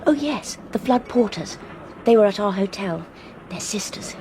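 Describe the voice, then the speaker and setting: A woman's voice: medium pitch, lively intonation, normal speed, a little mellow, lightly acted. An actress playing a polite, well-bred young English woman traveller in a radio drama, speaking in a reserved, well-spoken way. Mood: curious, wry. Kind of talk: radio drama dialogue and first-person narration. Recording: faint train noise behind.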